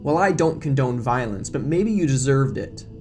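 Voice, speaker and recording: A man's voice: medium pitch, lively intonation, moderate pace, a little mellow, close to an everyday voice. A man playing an earnest, protective, wordy young small-town deputy in an audio drama. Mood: indignant. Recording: music bed behind the voice.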